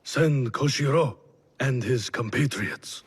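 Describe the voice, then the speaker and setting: An actor playing a weary, remorseful leader in a video game, speaking gravely with a husky, gravelly voice and halting delivery. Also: male voice, medium pitch. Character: weary, remorseful, solemn male leader doubting his cause. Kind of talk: dramatic video game acting.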